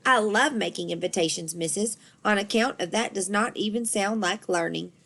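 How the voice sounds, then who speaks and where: A woman acts out a cheeky little schoolgirl from a children's storybook. She talks in childish grammar, bouncy and emphatic, full of eager excitement. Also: medium pitch, moderate pace, creaky.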